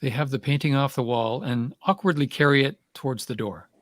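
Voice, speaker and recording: A man's voice: medium pitch, fairly quick, no echo. A man reading stage directions as scripted narration for a play.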